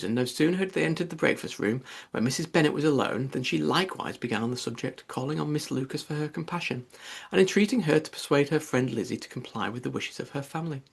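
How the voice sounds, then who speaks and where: A man's voice: medium pitch, animated, fast, creaky, slightly rough. A man reading a classic novel aloud as an audiobook narrator, in scripted literary narration.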